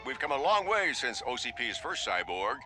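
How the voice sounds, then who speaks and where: A confident corporate announcer voiced by a man reads a game's promotional script. He is smoothly boastful, with an animated mid-range voice over a loud music bed. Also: medium pitch, normal speed, natural voice. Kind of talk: scripted commercial narration.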